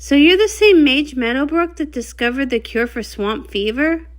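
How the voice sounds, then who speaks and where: A woman doing an animated read-along of a comic, acting out the dialogue in character. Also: high voice, normal speed. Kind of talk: animated storybook character reading.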